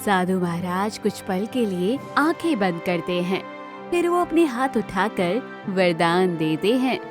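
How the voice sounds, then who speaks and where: A woman's voice: mid-range voice, very animated, a little slow, music in the background. A woman narrating a scripted children's moral story as the storyteller.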